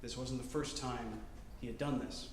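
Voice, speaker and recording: A man in his twenties telling a story on stage, choosing and enunciating each word carefully, with deliberate pauses. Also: mid-range voice, steady tone, slight background noise. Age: 20s. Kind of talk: live storytelling performance. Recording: roomy live-venue sound.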